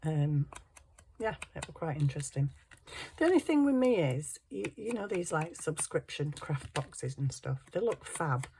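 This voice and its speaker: Hoarse, chatty woman crafting. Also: deep voice, sing-song, moderate pace, a little mellow, a little hiss or hum. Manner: chatty, self-mocking, muttering to herself. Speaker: craft channel host. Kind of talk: casual crafting chat while working.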